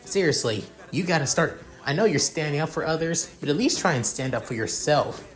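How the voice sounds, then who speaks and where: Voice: mid-range voice, animated, a little fast, soft background music, voice pushed up, close to an everyday voice. A narrator voicing a tough, protective young girl who scolds in a blunt way. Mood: scolding.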